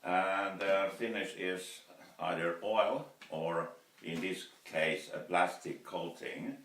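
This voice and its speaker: A man in his fifties with a Finnish accent, speaking English as a second language, talks casually in his wood shop, halting often and restarting his sentences. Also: sits low, fairly bright tone. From Finland. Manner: slow, halting, many fillers and restarts. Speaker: woodturner vlogger. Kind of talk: casual workshop vlog. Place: cluttered indoor wood shop. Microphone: camera mic from several feet away. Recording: roomy workshop sound from a distance.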